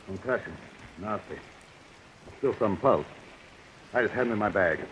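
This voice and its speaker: An actor playing a self-assured doctor with a terse, commanding manner, in 1940s radio suspense style. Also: male voice, lowish voice, moderate pace, a bit bright, lightly acted. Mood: brisk, grave. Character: self-assured, brisk, authoritative male doctor. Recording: muffled, noisy vintage radio recording.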